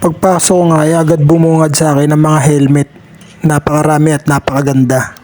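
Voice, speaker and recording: A man's voice: a little high, flat delivery, fairly quick, a little mellow. A man with a Filipino accent doing voice-over narration for a vlog. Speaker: vlogger.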